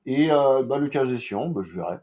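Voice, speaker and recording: A man's voice: medium pitch, expressive, fairly quick, mellow. A man from France giving a casual, rambling comics review, full of fillers, slang and swearing.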